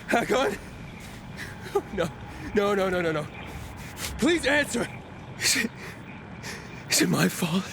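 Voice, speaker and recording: A driven young wanderer, the hero of a video game. His voice is a little high and earnest. Noisy ambience surrounds it. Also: heavily acted. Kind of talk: video game cutscene acting.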